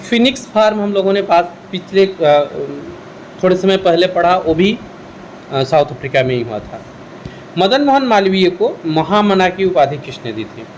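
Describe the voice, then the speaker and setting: A man's voice: high-pitched, big pitch swings, moderate pace, darkish tone, slight background noise, poor audio. A man with an Indian accent teaching an exam revision lesson, posing questions and then answering them in an instructional way. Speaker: history teacher.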